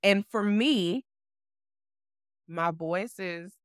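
Slow-speaking woman with a bassy voice. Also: dry recording, studio quality. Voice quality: loud with a bassy voice. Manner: measured, deliberate and assured. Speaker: podcast co-host. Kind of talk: casual conversation.

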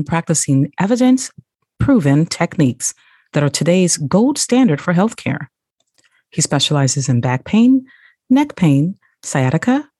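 A woman's voice: deep voice, a little mellow, breathy edge, no echo, studio quality. A woman hosting a podcast interview, reading a guest introduction and then chatting, laughing at her own slips. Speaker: podcast host.

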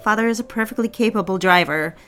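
An actress plays a young secretary and daughter, courteous yet restless, in a period radio play. Her pitch sits mid-range for a woman, with soft music underneath. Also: quick pace, lightly acted. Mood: earnest, uneasy. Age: young adult. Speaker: actor in an audio drama. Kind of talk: scripted period audio drama dialogue.

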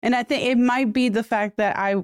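A woman from Puerto Rico whose first language is Spanish, answering interview questions in a relaxed, thoughtful way with soft laughs. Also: on the high side, brisk, clear, resonant tone, no room sound, clean studio sound. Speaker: podcast guest.

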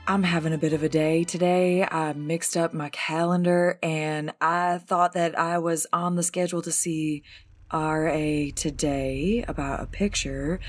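A woman's voice: sits low, fairly even intonation, a little slow, soft background music. A woman chatting casually and thinking aloud as a player in an improvised tabletop roleplaying show.

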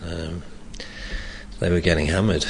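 Very deep, gravelly older man. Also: steady tone, a little slow. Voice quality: loud voice. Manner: hesitant, with many restarts and chuckles. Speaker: interviewee, former soccer coach. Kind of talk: reflective oral history interview. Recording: long reverb, faint background noise.

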